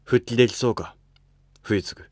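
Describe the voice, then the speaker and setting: A man voicing a retired emperor in a historical drama, shrewd and composed, with calm authority. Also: mid-range voice, normal speed, dry recording, studio quality, natural voice. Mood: considerate. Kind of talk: amateur historical drama dialogue.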